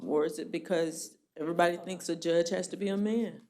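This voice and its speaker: A woman judge running a jail court hearing, brisk and plainspoken with a dry joking streak. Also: lowish voice, steady tone, a little mellow.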